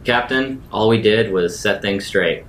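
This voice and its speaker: A man's voice: fairly low pitch, measured pace, fairly bright tone, roomy sound, slight background noise. A man playing a tabletop role-playing game, speaking in character as a player.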